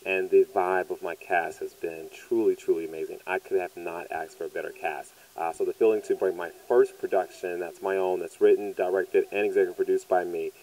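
A man in his thirties from southern Louisiana speaks in a fairly low voice. He is a producer giving conversational interview answers into a handheld microphone. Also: steady tone, a little fast, few pauses, darkish tone, low-quality recording. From southern Louisiana, United States. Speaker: stage play producer and writer. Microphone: handheld microphone held by interviewer.

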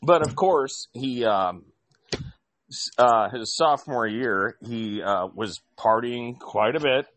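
A man's voice: mid-range voice, slow pace, fairly bright tone, no echo. A man from Indiana telling long, rambling stories in casual chat, with frequent restarts. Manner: rambling storyteller with many restarts.

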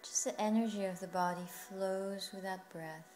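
A woman with a mid-pitched voice leads a guided meditation over music. She speaks soothingly and without hurry, leaving long pauses between short phrases, in the manner of a yoga teacher. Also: fairly even intonation, darkish tone. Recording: music playing behind the voice.

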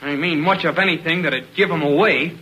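An actor playing an eager, excitable high-school boy with an American accent in a 1940s radio serial, earnest and full of gee-whiz exclamations. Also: fairly quick. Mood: eager, suspicious. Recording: noisy old radio broadcast recording.

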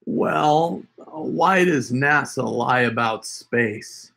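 A middle-pitched American man in his forties speaks at a slow pace. He chats casually and haltingly, as if half muttering to himself, like a prank-show host at home. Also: vocal fry, fairly bright tone. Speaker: prank caller and video host. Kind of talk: casual chat to camera. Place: home room with wood shelves, indoors. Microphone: desk camera at arm's length.

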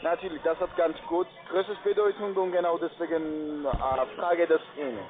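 Male non-native sales manager, formal scripted pitch, higher register, telephone-band audio. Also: fairly even intonation, normal speed, music in the background. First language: not German. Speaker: call centre sales manager. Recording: narrow phone line.

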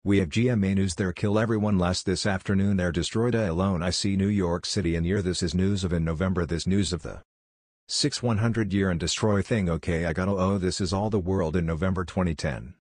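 A man's voice: low voice, fairly even intonation, a little fast, darkish tone, no room sound, studio quality. A man acting as a news announcer, delivering a mock breaking news report.

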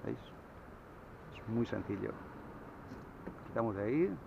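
Male kayak instructor, low voice, easygoing how-to delivery; muffled action-cam sound at sea. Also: creaky. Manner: relaxed, explains slowly with fillers. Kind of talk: casual how-to explanation on the water. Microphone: action camera mounted on the kayak. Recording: dull lo-fi camera audio, faint wind.

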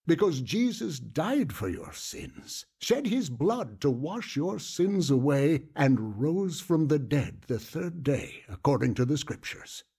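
A patient pastor in an audio drama. His husky voice moves slowly but rises and falls freely. He is earnest and gently instructive. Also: fairly high pitch, very animated, full character voice. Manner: earnest, gently instructive, quotes scripture solemnly. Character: patient, earnest male pastor counseling a young woman. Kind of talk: audio drama dialogue, preaching.

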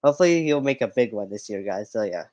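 A man's voice: on the low side, animated, fast talker, darkish tone, no room sound, lo-fi sound. A young man giving casual reaction commentary into a headset, repetitive and often addressing his viewers. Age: young adult. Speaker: reaction streamer. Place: dark room indoors.

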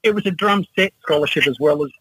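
Man, sixties, Australian accent, slightly husky, a little high-pitched, casual interview guest. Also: quick pace, a bit bright, dry recording, low-quality recording. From Adelaide, Australia. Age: 60s. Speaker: interview guest, musician and researcher.

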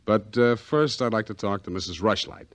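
An actor playing a cool, wisecracking private detective in a 1940s radio drama, delivering dry, sardonic, clipped lines. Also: male voice, fairly low pitch, moderate pace, noisy background, close to an everyday voice. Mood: wry.

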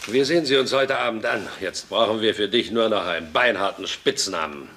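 An actor playing an easygoing working-class husband and loyal buddy, casual and chummy, in a dubbed German sitcom. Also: male voice, lowish voice, a little fast, pushed and bright, slight background noise.

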